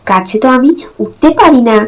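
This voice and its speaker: Mistreated young heroine, cartoon , woman performing: high pitch, meek, pleading, expressive. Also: a little fast. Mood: sad, helpless. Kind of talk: cartoon story voice acting.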